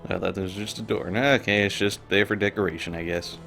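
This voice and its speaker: A man's voice: on the low side, steady tone, fast, gravelly, sharp tone. A man giving casual, offhand live commentary as he streams a video game. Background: game music.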